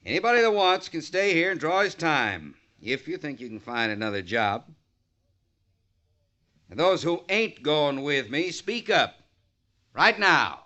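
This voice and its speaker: Hard, domineering old rancher, fairly high forceful voice, bitter and folksy, for radio western. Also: male voice, very animated, measured pace, dull audio, exaggerated voice. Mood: commanding, menacing. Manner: folksy, blunt, commanding.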